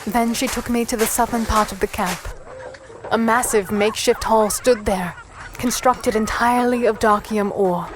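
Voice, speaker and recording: Higher-pitched woman as an earnest fantasy heroine. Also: fairly even intonation, moderate pace, over music, close to an everyday voice. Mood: solemn, descriptive. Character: earnest human heroine narrating her ordeal in a strange realm. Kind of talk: dramatic first-person narration in fantasy audio drama.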